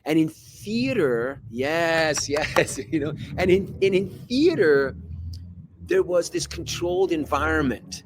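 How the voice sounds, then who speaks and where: Reflective guest, halting repeated words. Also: male voice, a little high, animated, slight background noise. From Switzerland. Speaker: podcast guest, actor and coach. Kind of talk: reflective personal story in conversation.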